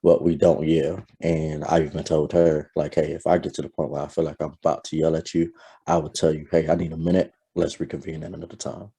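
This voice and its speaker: A man talking in a reflective, soft-spoken way as a guest in a casual conversation, pausing to search for words. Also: extremely low pitch, flat delivery, vocal fry, husky.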